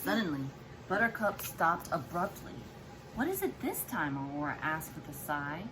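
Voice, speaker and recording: A woman's voice: deep voice, moderate pace, noisy background. A woman, a mother, reading a children's storybook aloud expressively to her child. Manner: expressive, acts out dialogue and explains to child.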